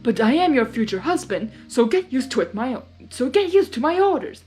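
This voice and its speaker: A woman's voice: high voice, big pitch swings, fairly quick, darkish tone, faint music behind, pitched above the performer's own voice. A woman voicing a short-tempered, bossy male trickster monster with a theatrical, petulant delivery in a storybook reading. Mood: defiant, commanding.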